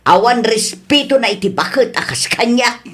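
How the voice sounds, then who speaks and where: A woman's voice: a little high, animated, normal speed, natural voice. A woman with an Ilocano accent playing a stubborn, quarrelsome older woman in a comedy radio drama, scolding and arguing. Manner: scolding, argumentative.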